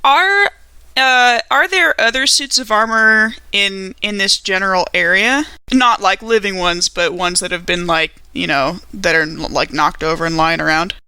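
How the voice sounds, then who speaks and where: Girl at a game table, teasing banter, bright forward voice, close dry audio. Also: mid-range voice, unhurried, clean tone, dry recording. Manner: playful, teasing. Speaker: player in a tabletop role-playing game.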